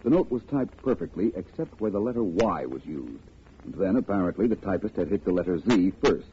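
A man's voice: low voice, big pitch swings, fairly quick. An American man playing a confident, wry private detective in urbane, precise 1940s radio style. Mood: assured. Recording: tinny, faintly noisy old radio recording.

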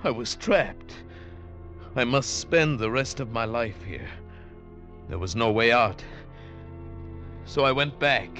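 A man's voice: mid-range voice, takes it slowly, pauses often, natural voice. An actor playing a desperate, hot-tempered trapped man, in a theatrical mid-century radio drama style. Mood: haunted narration. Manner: intense, theatrical. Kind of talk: 1940s-50s radio drama acting and narration. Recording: old radio broadcast with music underneath.

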